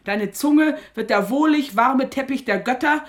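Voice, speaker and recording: A woman's voice: high voice, unhurried. An actress playing a suspicious, scolding middle-aged mother with an earthy, sarcastic manner in a radio drama. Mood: exasperated. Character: stern, sharp-tongued, suspicious mother of a young woman.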